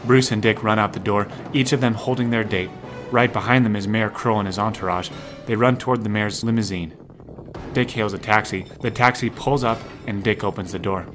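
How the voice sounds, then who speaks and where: A man's voice: fairly low pitch, steady tone, brisk. A man narrating a scripted audio drama in the present tense. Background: music.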